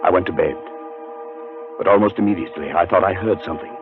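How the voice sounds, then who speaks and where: An actor playing a haunted, nervous scholarly gentleman, narrating in a brooding, suspenseful 1940s radio drama style. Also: sits low, fast talker, lightly acted. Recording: muffled 1940s radio recording with music.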